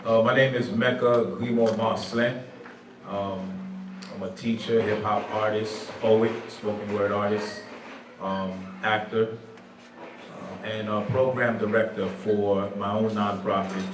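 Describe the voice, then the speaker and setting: A man's voice: on the low side, level tone, unhurried. A man, a teacher and nonprofit program director, answering panel questions in a thoughtful way and slipping in Creole and French phrases. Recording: echoey room from far off, faint background noise.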